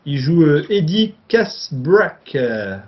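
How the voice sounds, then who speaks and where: A man's voice: on the high side, sing-song, takes it slowly. A man co-hosting a casual film discussion, summarizing a plot with many hesitations and fillers. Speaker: film podcast co-host. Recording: dull lo-fi sound.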